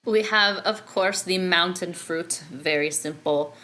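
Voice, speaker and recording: A woman's voice: fairly low pitch, takes it slowly, bright, forceful tone. A woman chatting casually as a player in a tabletop roleplaying game.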